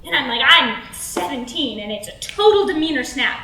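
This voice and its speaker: A woman's voice: high voice, big pitch swings, normal speed, bright, forceful tone, heavily acted. A young woman playing a chatty stand-up comedian, speaking conversationally in an echoey room. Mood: animated, amused. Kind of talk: conversational storytelling and dialogue. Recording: echoey room, faint noise.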